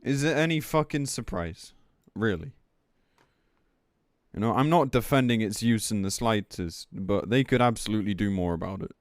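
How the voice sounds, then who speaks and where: A man's voice: on the low side, normal speed, dry recording, studio quality. A man speaking as a game streamer in a casual livestream rant, blunt, sweary and exasperated as he reads and reacts. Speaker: game streamer commenting on news.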